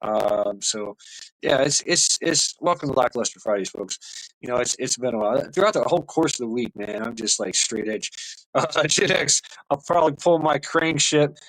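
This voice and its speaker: A man's voice: medium pitch, animated, moderate pace, fairly bright tone, no echo, rough recording. Man, livestream host, casual, rambling, tipsy, laughing, lots of uhs.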